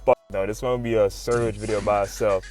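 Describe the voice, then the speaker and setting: A man giving a casual, excited recap as a video host, full of slang, swearing and animated exclamations. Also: fairly low pitch, fairly quick, soft background music. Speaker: YouTube host recapping comics.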